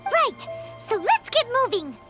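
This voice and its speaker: Tiny fairy girl game sidekick, super high childlike voice, excitable and nosy, swoops around a lot. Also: measured pace, music in the background, exaggerated voice. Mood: excited. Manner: exclamatory, inquisitive, blunt. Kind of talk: video game voice acting.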